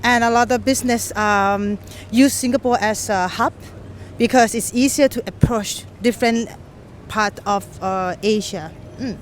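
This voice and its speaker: Thai-born woman, higher voice, kinda searching for words, light street noise. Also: a little slow. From Thailand. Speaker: interviewee, expat. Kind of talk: casual answers.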